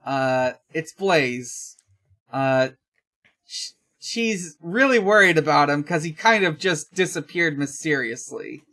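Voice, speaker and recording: A podcast host recapping a comic in casual, low-key talk with halting delivery. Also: lively intonation, sharp tone.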